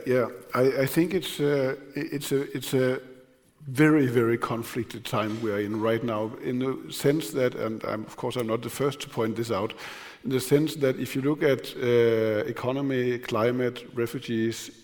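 A man, a podcast host, speaking English in a measured way after a few hesitant starts. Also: mid-range voice, a little mellow, big-room sound. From Denmark. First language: Danish. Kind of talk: conversational podcast talk.

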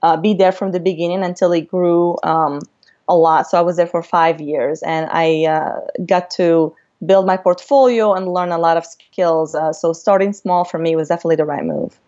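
Woman from Morocco, creative director guest; lower voice, earnest, filler-heavy, muffled lo-fi recording. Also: fairly even intonation, normal speed, dark tone. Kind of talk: interview storytelling.

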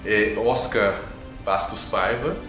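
A man's voice: lowish voice, steady tone, slow. A man with a Brazilian accent narrating a tabletop game as game master. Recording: roomy echo, music underneath.

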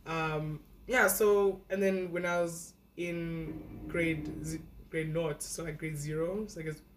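A woman's voice: low voice, slow. Young woman from south africa rambling through a story, lots of "like" and fillers, faint hum behind. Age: young adult. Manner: animated, acts out her younger self. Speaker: guest. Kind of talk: casual storytelling.

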